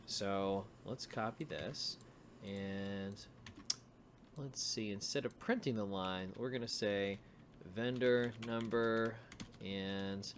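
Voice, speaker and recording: A man's voice: lowish voice, slight background noise. A man giving an informal screencast coding tutorial, thinking aloud as he works through each step. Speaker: programming tutorial instructor.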